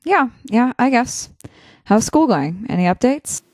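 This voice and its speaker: A woman playing a reserved, curious young college student in an audio drama, talking in a tentative, low-key way. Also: lively intonation, unhurried, darkish tone, dry recording, studio quality. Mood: concerned. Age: young adult. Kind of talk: naturalistic audio drama dialogue.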